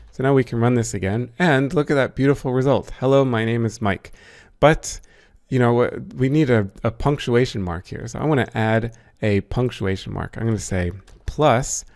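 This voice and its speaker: A man's voice: lowish voice, a little mellow, no room sound. A man patiently walking beginners through a coding tutorial step by step, with dry little asides. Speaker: instructor. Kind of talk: screen-recorded coding tutorial.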